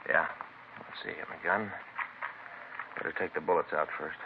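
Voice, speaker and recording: An actor playing a grumbling, methodical American police detective partner in a conversational 1950s radio drama. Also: male voice, rumbling low, steady tone, normal speed, vocal fry. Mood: absorbed, grumbling. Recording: noisy, muffled vintage radio recording.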